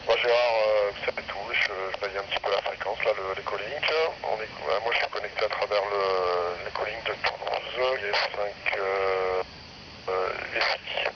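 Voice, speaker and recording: A man chatting as an amateur radio operator over a radio link, in a hesitant, halting way. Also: on the low side, fairly even intonation. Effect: heard over radio link. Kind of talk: casual ham radio exchange. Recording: muffled radio link, loud noise.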